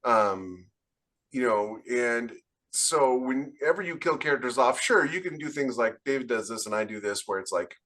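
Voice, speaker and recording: A man's voice: medium pitch, lively intonation, normal speed, a bit bright. A man co-hosting a podcast as an author, explaining his views in casual, conversational panel talk.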